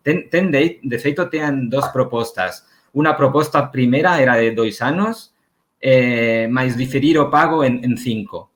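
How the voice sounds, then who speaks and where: Catalan man in a remote panel chat. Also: mid-range voice. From Barcelona, Spain. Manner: mixes Spanish words into his Portuguese, halting restarts. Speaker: guest journalist. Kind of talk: casual panel discussion. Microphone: remote video call.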